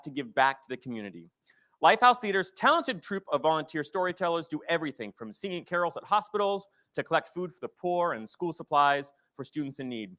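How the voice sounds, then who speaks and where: A man's voice: a little high, fairly bright tone. An American man hosting a live theater celebration, presenting partly from a script in an informal, bantering way. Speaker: host of a theater's season celebration. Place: live theater stage before an audience.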